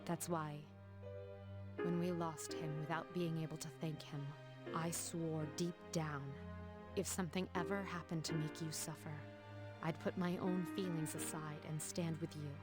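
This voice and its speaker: A composed, caring older schoolgirl in a dramatic video game. Her voice is on the low side, measured and formal. She speaks with solemn sincerity over clear background music. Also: little pitch movement. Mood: tender, earnest.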